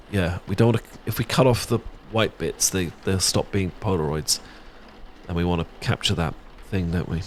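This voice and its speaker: A British man playing an affectionate, playful young best friend in an intimate, casual ASMR audio roleplay, chatting and teasing with soft tag questions. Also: deep voice, a little hiss or hum. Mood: fond, chatty.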